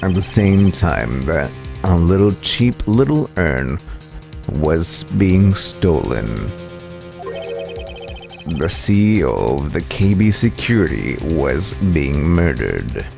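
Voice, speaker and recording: Guy doing smug menacing game prosecutor, slow deep drawl, creaky, taunting. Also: very animated, darkish tone, soft background music, full character voice. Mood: smug, accusing.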